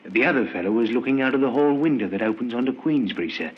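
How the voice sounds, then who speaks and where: Humble male porter, husky and hesitant, muffled vintage radio drama. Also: medium pitch, lively intonation. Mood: halting, helpful. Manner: halting, repeats words, deferential. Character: humble male building porter, unsure but helpful witness. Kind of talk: 1950s radio crime drama acting.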